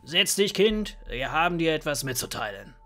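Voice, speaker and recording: A stern dwarf patriarch acted by a man for a fantasy audio play. The voice is fairly high for a man, gravelly and husky, laying down the law in formal words over a music bed. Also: big pitch swings, a bit bright. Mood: solemn, formal. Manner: formal, authoritative, measured. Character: stern dwarven father, formal and unyielding. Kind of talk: fantasy audio drama acting.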